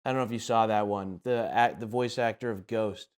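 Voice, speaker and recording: A man's voice: fairly low pitch, steady tone, brisk, smooth. A man hosting a podcast, putting questions to a guest in a casual, conversational style. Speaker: podcast host.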